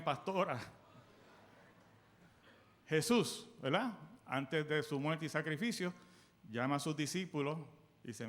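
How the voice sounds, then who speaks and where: A man with a Puerto Rican accent preaching a sermon in church, asking the congregation questions and swinging between loud bursts and soft quoted lines. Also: on the high side. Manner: animated, call-and-response questions to the congregation. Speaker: guest preacher. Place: church sanctuary.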